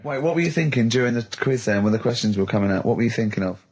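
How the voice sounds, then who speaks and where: A man with a slightly husky voice co-hosting casual radio banter in an excitable, repetitive, gleeful way. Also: medium pitch, very fast, over loud music. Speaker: radio show co-host. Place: radio studio. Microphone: studio microphone.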